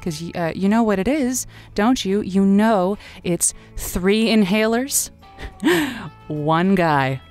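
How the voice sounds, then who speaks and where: A woman playing a playful, soft-hearted late-night radio call-in host with a coy, halting manner. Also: medium pitch, animated, soft background music. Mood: fond signing off. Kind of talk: improvised audio drama, late-night radio call-in show.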